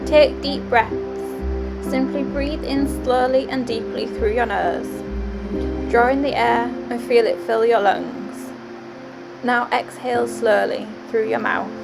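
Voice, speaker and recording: Calm woman reading a meditation, slightly high, gravelly edge, guitar music behind. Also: low-quality recording. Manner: calm, soothing, instructive. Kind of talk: guided meditation narration.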